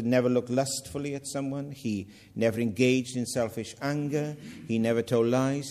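A man's voice: a little high, a little mellow. An older man with a Welsh accent preaching a sermon, earnest with self-mocking humour. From Wales.

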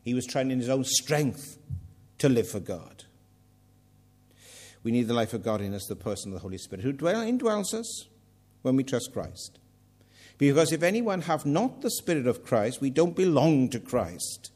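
A man's voice: medium pitch, very animated, moderate pace, darkish tone. An older man with a Welsh accent preaching a sermon, earnest with self-mocking humour. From Wales.

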